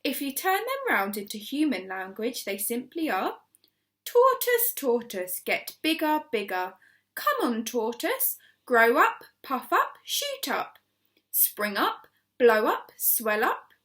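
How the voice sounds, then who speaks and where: A woman voicing an eager, confident older man who explains and coaxes in an emphatic, deliberate way, reading from a storybook. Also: fairly high pitch, huge pitch swings, a bit bright, exaggerated voice. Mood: confident, explaining.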